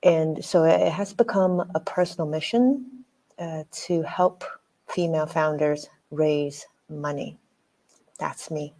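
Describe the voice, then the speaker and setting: Low, mellow-voiced woman on a panel. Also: steady tone, slow pace, rough recording. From Taiwan. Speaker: panelist, angel investor and founder. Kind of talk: panel self-introduction.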